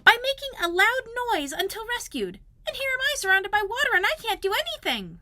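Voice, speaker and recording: A woman voicing a very small, timid piglet who is anxious and wistful, in a squeaky, plaintive storybook manner. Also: very animated, a little fast, sharp tone, heavily acted. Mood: anxious, helpless.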